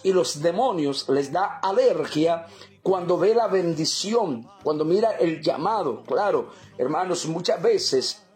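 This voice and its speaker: A man from El Salvador delivers a sermon, his voice pitched high. He preaches fervently, repeating his points and turning to his listeners as brothers. Soft music plays faintly behind him.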